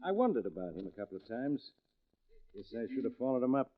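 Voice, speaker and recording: An actor playing a small-town sheriff in a 1940s radio drama. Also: male voice, mid-range voice, very animated, fast, lightly acted. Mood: soothing, weary. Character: small-town sheriff, protective, then gasping and mortally wounded. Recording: dull vintage 1940s radio recording.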